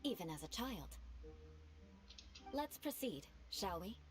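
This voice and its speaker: A woman's voice: mid-range voice, sing-song, slow pace. A woman playing a haughty, elegant young noblewoman knight in a video game, speaking formally with cool teasing poise. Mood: composed, instructive. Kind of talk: scripted video game voice acting. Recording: game music behind the voice.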